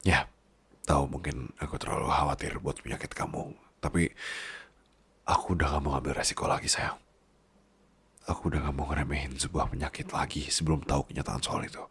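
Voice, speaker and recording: A man's voice: very deep voice, steady tone, brisk, pauses often, fairly bright tone, breathy edge, no room sound, heavily acted. A man playing a doting, worried boss husband who is clingy like a big baby, coaxing and teasing tenderly in an intimate ASMR roleplay. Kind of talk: intimate ASMR boyfriend-style roleplay in casual talk.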